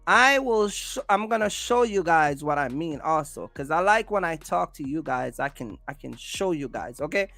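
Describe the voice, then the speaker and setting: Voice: medium pitch, animated, moderate pace, hardly any pauses, fairly bright tone, dry recording, faint music behind. A livestream host talks casually in an emphatic, rambling way, often repeating phrases and restarting. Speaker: livestream host, content creator.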